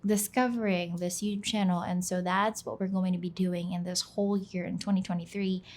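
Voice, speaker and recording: A young woman from the Philippines, whose first language is Filipino, chats in a casual voice-over about her work. Her voice sits a little low, and she talks with an easygoing, self-deprecating air, dropping "like" often. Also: level tone, fairly quick. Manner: chatty, self-deprecating, peppered with "like". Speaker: YouTuber, channel host. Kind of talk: casual talk to camera. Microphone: voice-over, not on camera.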